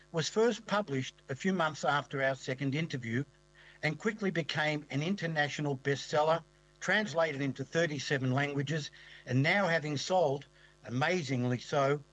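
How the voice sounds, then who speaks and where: Aussie radio host guy, fairly high voice, gushing and upbeat. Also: a bit bright, rough recording. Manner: enthusiastic, effusive. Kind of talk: radio interview hosting.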